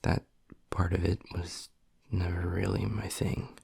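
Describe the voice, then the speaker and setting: Casting: male actor as gruff young werewolf boyfriend for intimate audio roleplay, low-pitched, heavily fried voice, tender and breathy. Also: little pitch movement, a little mellow, dry recording, full character voice. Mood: firm, coaxing. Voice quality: husky, gravelly, breathy. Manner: low, halting, half-whispered delivery.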